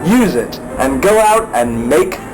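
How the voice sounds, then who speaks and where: A man narrating a scripted parody of a 1950s educational film in a cheerfully didactic, mock-earnest way, with carefully measured enunciation. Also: high-pitched, sing-song, rough recording. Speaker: voice-over narrator. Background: music under the voice.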